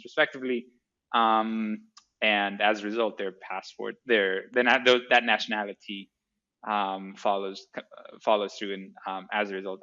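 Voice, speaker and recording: A man's voice: fairly low pitch, sharp tone, dull audio. A man from Greece, a startup co-founder, answering interview questions in a hesitant way with many fillers and restarts. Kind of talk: casual interview.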